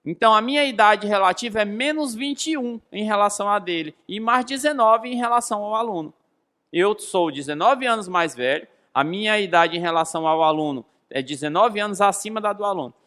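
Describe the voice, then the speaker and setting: A Brazilian man in his thirties explains like a classroom physics teacher. His voice is high and forceful. He stresses key phrases by repeating them and puts questions to his listeners as he goes. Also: fairly quick. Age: 30s. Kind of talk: explanatory lesson with rhetorical questions.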